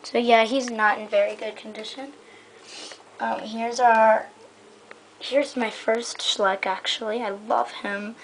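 A woman giving a casual, rambling show-and-tell in a hesitant, halting way that often trails off. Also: a little high, fairly even intonation. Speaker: hobbyist giving a toy horse stable tour. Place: indoors in a room at home. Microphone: handheld camera held close by the speaker. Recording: noisy amateur home camera recording.

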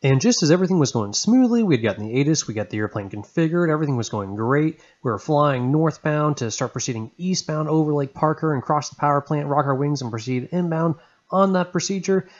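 A man's voice: fairly high pitch, animated, fast. A man narrating events in an informal storytelling voice-over. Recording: dull, band-limited voice-over.

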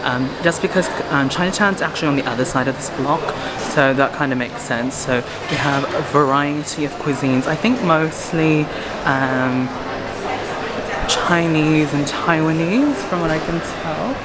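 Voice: medium pitch, measured pace, a loud crowd, low-quality recording. A young adult vlogger giving a chatty, rambling walking tour, filmed selfie-style at arm's length while strolling through streets and shopping centres. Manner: chatty, rambling, with fillers and asides. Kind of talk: casual vlog narration. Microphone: handheld selfie camera at arm's length.

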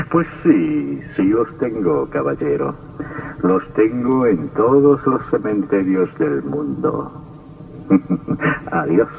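An actor playing a sinister, darkly gloating count who narrates an old radio horror drama in a theatrical, macabre manner. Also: male voice, on the low side, big pitch swings, extremely slow, vocal fry, pauses often, slight background noise, heavily acted. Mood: sardonic. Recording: tinny, distant old radio recording.

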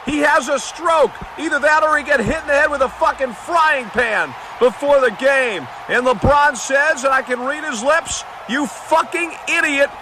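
A man's voice: very high-pitched, moderate pace, hardly any pauses. A man doing mock basketball play-by-play as a parody sports commentator, with a profane, bombastic announcer delivery. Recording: noisy, game crowd and court sounds. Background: basketball game ambience with bouncing ball.

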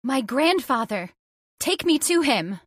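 An actress playing a distressed, disbelieving heiress, asking anxious, halting questions in old-time radio horror drama style. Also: female voice, high-pitched, big pitch swings, dry recording, studio quality, heavily acted. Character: distressed, disbelieving female heir to a factory fortune.

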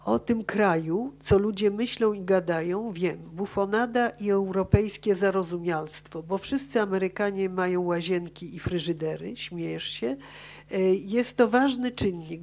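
A woman's voice: on the low side, darkish tone. A woman reading an academic paper aloud at a conference, stumbling now and then over words as she follows her script. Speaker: conference speaker. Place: conference auditorium. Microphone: gooseneck table microphone.

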